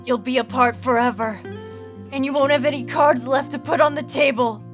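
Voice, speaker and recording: A woman's voice: sits high, fairly even intonation, brisk, over music, close to an everyday voice. Woman voicing an anguished immortal guardian boy, intense. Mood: bitter, scornful. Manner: emotional, dramatic, pleading speeches. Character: anguished, bitter immortal male guardian spirit, youthful-looking. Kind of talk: fan audio drama acting.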